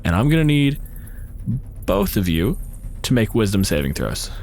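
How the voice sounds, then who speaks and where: A man's voice: fairly low pitch, huge pitch swings, moderate pace, background noise. A man running a tabletop fantasy game, moving between dramatic descriptive narration and casual, sweary table talk. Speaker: game master. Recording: loud music bed behind voice. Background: background music.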